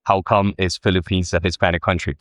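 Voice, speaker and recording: A man's voice: low-pitched, flat delivery, brisk, fairly bright tone, dry recording. A man interviewing a pageant queen, asking plain, direct questions.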